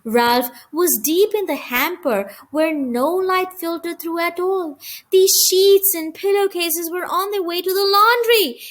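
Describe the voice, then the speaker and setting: High, animated woman reading a story. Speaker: audiobook reader. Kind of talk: expressive children's story narration.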